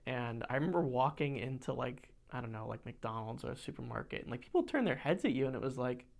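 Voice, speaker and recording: A young man with a gravelly edge to his voice, talking in a relaxed, rambling way on a podcast. He restarts often, uses plenty of fillers and laughs while telling stories. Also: mid-range voice, quick pace. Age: young adult. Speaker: podcast co-host. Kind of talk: casual chat.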